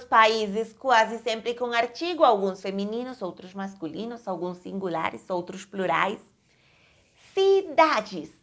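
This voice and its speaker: A woman from Colombia, teaching an online language lesson slowly, with clear, careful enunciation. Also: fairly high pitch, a bit bright. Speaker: Portuguese teacher.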